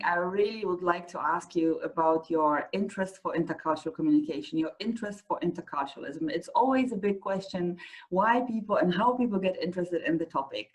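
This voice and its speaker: A woman hosting an interview, asking relaxed questions in an informal way with a few fillers. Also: fairly low pitch, low-quality recording. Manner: friendly, informal, uses fillers. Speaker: interviewer, program host.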